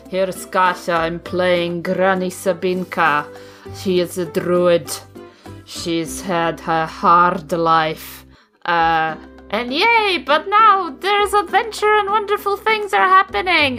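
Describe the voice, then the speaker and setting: A woman playing a tabletop game, chatting playfully and haltingly, often repeating her words. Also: fairly high pitch, sharp tone. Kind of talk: casual playful game chat.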